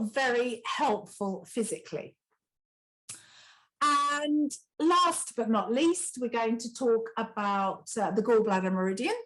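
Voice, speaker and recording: A woman's voice: fairly high pitch, low-quality recording. A middle-aged woman with a chatty, encouraging manner, teaching self-care exercises as she demonstrates them. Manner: chatty, encouraging, many fillers like "you know". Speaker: kinesiology teacher. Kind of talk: instructional talk with demonstrations.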